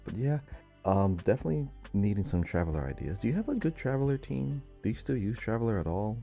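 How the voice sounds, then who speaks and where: A man with a very low, extremely dark and soft-edged voice co-hosts a gaming podcast. His talk is casual and conversational. Music runs behind him. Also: big pitch swings, quick pace, creaky. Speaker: podcast host.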